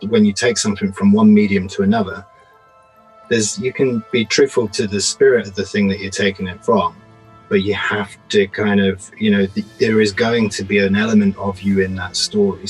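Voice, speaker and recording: A man's voice: fairly low pitch, flat delivery, moderate pace, faint music behind, rough recording. A man talking thoughtfully in an interview, building his points with rhythmic repetition. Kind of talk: reflective interview talk.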